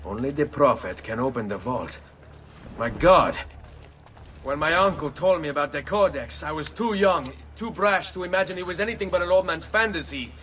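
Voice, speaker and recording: An actor playing a determined young male assassin in a game cutscene, speaking in a low, intense way. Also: normal speed, a bit bright, a little hiss or hum, close to an everyday voice. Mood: realizing. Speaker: game protagonist. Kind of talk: video game cutscene acting.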